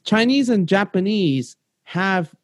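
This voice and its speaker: A man from South Korea hosting a casual podcast interview, halting and full of ums and self-corrections. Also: high-pitched, expressive, no echo, studio quality. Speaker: podcast host.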